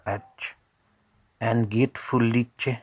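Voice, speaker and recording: A man narrating a scripted tale as a storyteller in a measured, deliberate way. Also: mid-range voice, steady tone, a little mellow, husky, no room sound.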